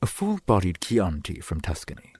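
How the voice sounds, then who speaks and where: A man playing a courtly, doting centuries-old vampire boyfriend in an ASMR roleplay, speaking in formal, archaic, gently attentive phrases. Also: sits low, huge pitch swings, normal speed, a little hiss or hum.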